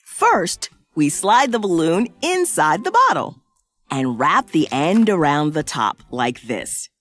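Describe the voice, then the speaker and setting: Excitable female cartoon professor, very wide swooping pitch, slow delivery for little kids. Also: heavily acted. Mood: upbeat, explaining. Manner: exaggerated, sing-song, slow and clearly explanatory. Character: cheerful, excitable woman science professor for young children. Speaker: presenter of a children's science cartoon. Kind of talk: scripted educational cartoon narration.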